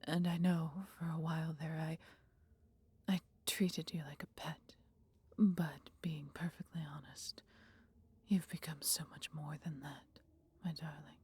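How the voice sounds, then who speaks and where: A woman voicing a mighty female dragon, proud yet caring, with breathy, husky tones and archaic, theatrical phrasing in a fantasy audio roleplay. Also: low voice, level tone, unhurried, darkish tone, heavily acted. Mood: tender, sorrowful.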